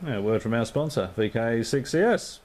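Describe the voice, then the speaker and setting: A man's voice: mid-range voice, lively intonation, moderate pace, darkish tone. An Australian man and amateur radio operator in easygoing, rambling radio chat, full of fillers and signing off with call signs. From Perth, Western Australia. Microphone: radio transceiver microphone.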